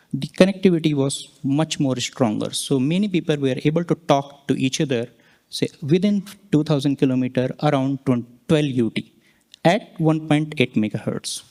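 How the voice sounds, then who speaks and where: A man presenting scientific results, explaining charts step by step and pausing mid-sentence to point things out. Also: a little high, darkish tone. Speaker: conference presenter, researcher.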